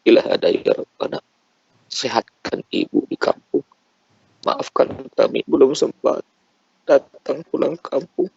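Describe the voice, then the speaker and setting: A man's voice: medium pitch, unhurried, creaky, a little mellow, breathy edge, no echo, highs cut off. A man leading a congregation in an emotional prayer, pleading fervently with repeated invocations. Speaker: prayer leader.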